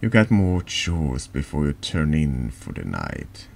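A man with a deep voice narrating a horror text game in a deliberate, dramatic ASMR storytelling style. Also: wildly swooping pitch, gravelly, a little mellow, a little hiss or hum. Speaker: streamer reading a text adventure game aloud.

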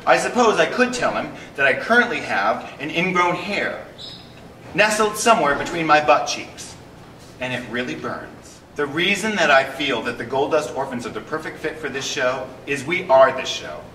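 A man in his fifties who founded a theater company, talking casually to the camera from a small stage with dry, deadpan humor. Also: fairly high pitch, normal speed, echoey room, far from the mic, slight background noise, poor audio. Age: 50s.

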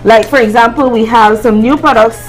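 A woman's voice: fairly high pitch, fairly even intonation, a little fast, soft background music, low-quality recording. A middle-aged woman, chatting as a brand representative as she explains promotions and products. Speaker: brand representative guest on a cooking show. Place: TV studio kitchen set. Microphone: lapel mic.

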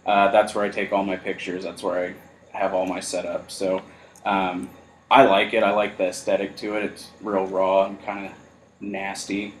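Podcast host guy, lowish voice, jokey and self-deprecating, echoey room. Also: completely flat delivery, moderate pace, slight background noise. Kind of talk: casual chat. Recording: roomy echo.

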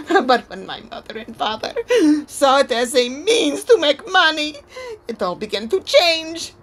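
A woman's voice: sits high, sing-song, a bit bright, heavily acted. A woman playing a weary old female spirit medium, solemn and foreboding, in a theatrical audio drama. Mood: haunted, grave. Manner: solemn, dramatic, deliberate pronouncements.

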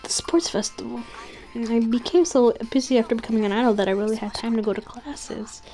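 Woman as a soft, reserved schoolgirl. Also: a little high, a little fast, soft background music, close to an everyday voice, rough recording. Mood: pensive. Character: reserved, polite, thoughtful schoolgirl former idol.

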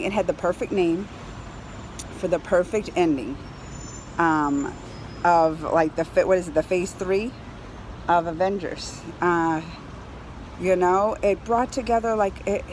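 An excitable woman gives a casual, emphatic review straight to camera. Her voice sits at a middle pitch, and she repeats phrases to drive them home. She is outdoors, with faint city traffic noise behind her. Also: rough recording. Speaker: movie reviewer. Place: outdoors on a high balcony over a city. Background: urban traffic ambience.

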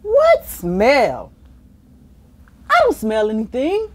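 Woman putting on a high, swooping, stubborn cartoonish pigeon. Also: wildly swooping pitch, very slow, exaggerated voice. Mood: indignant, evasive. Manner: whiny, exaggerated protesting. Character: stubborn, dramatic, cheeky male pigeon who hates baths. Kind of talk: children's storybook character reading.

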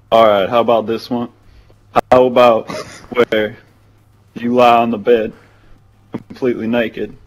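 A man making a prank phone call in a deadpan, hesitant, drawn-out way. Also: medium pitch, slight background noise. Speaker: prank caller.

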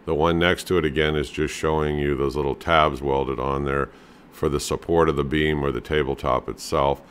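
Middle-aged male DIY host, very low voice, easygoing and plain-spoken, filler-heavy delivery. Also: normal speed, clear, resonant tone. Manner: relaxed, plain-spoken, fillers like "you know" and "um". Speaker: home handyman host. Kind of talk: casual instructional talk over photos.

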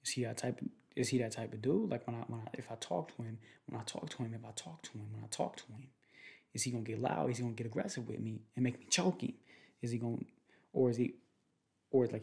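A young man playing a protective, jealous boyfriend in an ASMR roleplay, talking in soft, slangy, repetitive, teasing phrases. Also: medium pitch, fast talker, gravelly, natural voice. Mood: insistent, protective. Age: young adult. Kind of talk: ASMR boyfriend roleplay, improvised casual chat. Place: small room at home, indoors.

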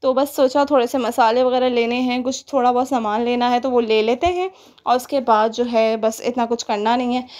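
A woman's voice: on the high side, fairly even intonation, moderate pace, a little mellow. A young woman with a Pakistani Urdu accent narrating a shopping vlog in a chatty, rambling way with many fillers. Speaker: vlogger.

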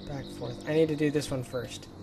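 A young man excitedly and chattily commenting as he plays a video game. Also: medium pitch, fairly quick, creaky, very noisy. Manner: excitable, chatty, swears lightly. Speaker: let's play gamer. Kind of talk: casual gameplay commentary. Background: game music.

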